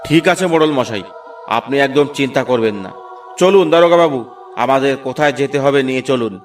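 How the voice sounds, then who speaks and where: Man acting an excitable, fearful village guide. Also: mid-range voice, brisk, recorded from a distance, faint music behind, close to an everyday voice. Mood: willing. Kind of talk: cartoon voice acting.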